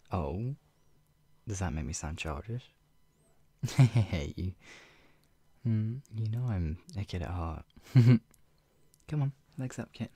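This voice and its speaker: An actor performing an intimate roleplay as a doting, playful, teasing lover, murmuring with soft hums, giggly hesitations and drops into whispers. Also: low voice, animated, slow, long pauses, no echo, full character voice. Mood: giggly, coaxing. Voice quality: breathy, husky, often dropping into whispers. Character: doting, playful, flustered lover tenderly caring for an ill pet-named partner.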